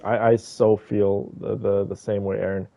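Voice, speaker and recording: A man's voice: fairly low pitch, little pitch movement, normal speed, gravelly, warm tone. A man livestreaming a game with casual, chatty and effusive commentary, talking directly to his viewers. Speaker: game live streamer. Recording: dull, band-limited stream audio. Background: music.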